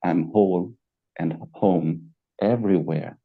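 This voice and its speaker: Halting older-sounding man, muffled call audio. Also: low-pitched. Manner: halting, searching for words, with frequent fillers and restarts. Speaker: guest. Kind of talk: reflective spiritual conversation. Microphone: video call.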